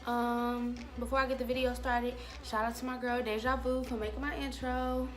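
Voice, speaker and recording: A woman's voice: a little high, little pitch movement, moderate pace, faint music behind. A young American woman chatting casually to camera as a vlogger, in a loose, slangy, rambling way. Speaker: vlogger giving a wig-making tutorial.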